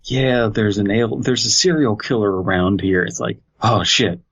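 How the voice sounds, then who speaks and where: Husky, lowish male podcaster, dull sound. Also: moderate pace, a bit bright. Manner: jokey, mocking, profane. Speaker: podcast co-host. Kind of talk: casual banter while reading a horror story aloud.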